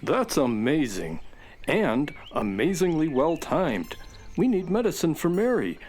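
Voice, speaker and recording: A man's voice: on the high side, sing-song, measured pace. A man voicing a friendly, loyal talking space horse sidekick in a children's radio play, hearty and encouraging. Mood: amazed, concerned. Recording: loud guitar music behind voice.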